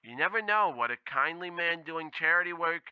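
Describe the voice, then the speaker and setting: A man's voice: on the high side, animated, brisk, clear, resonant tone, no room sound, highs cut off, natural voice. A man playing a blithely tactless temp agency clerk who rambles and bumbles in a comedy radio play. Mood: ominous warning. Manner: rambling, bumbling, bluntly insensitive.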